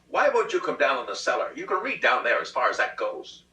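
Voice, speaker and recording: Man acting a peevish, insistent husband, swooping pitch. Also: wildly swooping pitch, brisk, pushed and bright. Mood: whiny, exasperated. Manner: pleading, exasperated, emphatic. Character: peevish, childishly insistent middle-class husband plotting murder. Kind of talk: dramatic reading of a comic short story. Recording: roomy, distant rehearsal recording.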